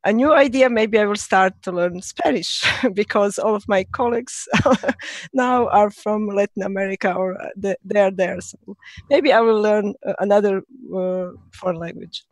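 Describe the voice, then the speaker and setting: A woman from Croatia, a native Croatian speaker, introducing herself in halting English as a German teacher on a panel. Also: medium pitch, dry recording. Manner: halting, self-correcting.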